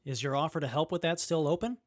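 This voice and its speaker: A man playing a capable, good-humoured young wasteland mechanic in an audio drama, measured and matter-of-fact. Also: on the high side, dry recording, studio quality, natural voice. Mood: calm, friendly. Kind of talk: post-apocalyptic audio drama acting.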